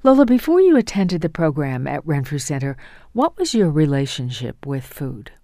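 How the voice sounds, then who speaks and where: A woman hosting a radio interview show, asking calm, measured questions. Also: deep voice, no room sound, clean studio sound.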